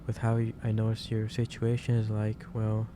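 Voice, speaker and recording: A man playing a gentle, caring office boss in a soft-spoken ASMR roleplay, speaking haltingly and repeating himself. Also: fairly low pitch, monotone, noisy background, lightly acted. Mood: caring, reassuring. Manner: hesitant, halting, repeats phrases. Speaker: roleplay performer.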